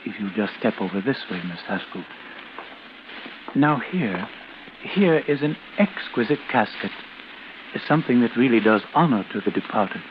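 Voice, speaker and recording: An actor playing a solicitous, solemnly courteous mortician with a smooth sales patter in a 1940s radio drama. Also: male voice, lowish voice, expressive, moderate pace, lightly acted. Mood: unctuous, persuasive. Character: unctuous, solicitous mortician selling caskets. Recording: muffled, hissy old radio recording.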